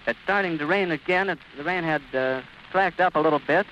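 A man's voice: on the high side, brisk, sharp tone, muffled recording, a little hiss or hum. An American man giving florid, descriptive live commentary as a 1930s radio reporter, on an old recording.

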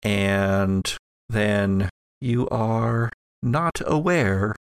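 A man's voice: lowish voice, extremely slow, smooth, no echo, clean studio sound. A man narrating an improvised audio drama game as the game master.

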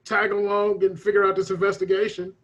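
A man's voice: high voice, little pitch movement, brisk, fairly bright tone. A man playing a pitiful, battered and meek sufferer in improvised radio comedy, recorded with a muffled sound. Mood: meek, friendly. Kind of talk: improvised radio-play parody.

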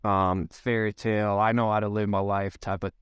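A man's voice: on the low side, little pitch movement, normal speed, smooth, dry recording, clean studio sound. A man in his thirties from Sweden with a Swedish accent, speaking English, reflecting as a podcast guest with many fillers and restarts. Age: 30s. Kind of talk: casual podcast interview.

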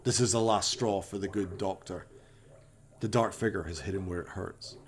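A man's voice: low-pitched, normal speed. A man narrating a comic horror radio play in a melodramatic, ominous storytelling manner.

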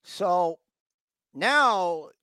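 A man's voice: high-pitched, animated, extremely slow, bright, forceful tone, clear, resonant tone, no echo. A man hosting a podcast, giving a casual, rambling recap with mock exasperation and repeated phrases for emphasis. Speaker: podcast host recapping wrestling.

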